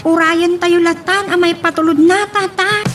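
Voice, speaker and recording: A woman's voice: extremely high pitch, steady tone, moderate pace, music in the background. A woman with an Ilocano Filipino accent playing a young, dutiful, soothing student daughter in an audio drama. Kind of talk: Ilocano radio-style drama acting.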